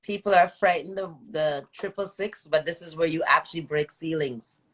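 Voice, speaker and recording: Expressive woman host with tinged speech. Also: deep voice, moderate pace, low-quality recording. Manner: expressive, slips into patois phrasing. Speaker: interview host. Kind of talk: casual live interview hosting. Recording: livestream video call.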